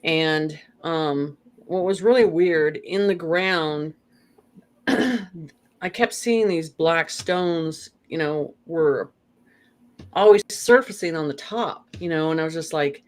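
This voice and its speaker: A guest talks loosely and at length in an easy, unscripted spiritual chat. The voice sits at an average pitch, and the speech rambles with frequent fillers and self-corrections. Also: slow pace. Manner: rambling, full of "you know" and restarts. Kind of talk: casual spiritual conversation.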